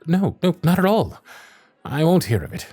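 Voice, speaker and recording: A man's voice: fairly high pitch, very animated, moderate pace, dark tone, husky, no room sound, faint music behind. Guy playing posh-talking young victorian lord, courtly and playful, voice goes up and down loads, super dry recording. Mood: flustered, apologetic. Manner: formal, courtly, old-fashioned diction. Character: wry, honourable young Victorian lord, charming and playfully vain. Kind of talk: romantic period audio roleplay.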